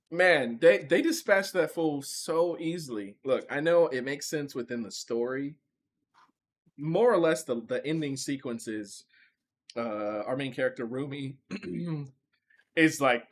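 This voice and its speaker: A man co-hosting a casual film review chat, loose and joking, quick to laugh. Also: medium pitch, fairly bright tone, a little hiss or hum. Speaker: podcast co-host.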